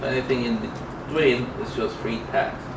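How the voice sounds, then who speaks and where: A middle-aged man gives an informal programming lecture at a slow, halting pace, thinking aloud as he works. He sounds distant from the microphone in an echoey room, with clear noise behind him. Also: medium pitch, steady tone. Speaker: programming instructor. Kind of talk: informal classroom lecture with live coding demo. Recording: roomy, distant mic, clear background noise.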